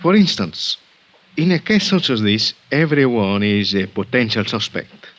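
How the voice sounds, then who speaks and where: A man playing a polite but firm, suspicious Mexican police lieutenant with a Mexican accent in 1950s radio drama, formal and measured, on a dull vintage recording. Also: on the low side, very animated, a bit bright.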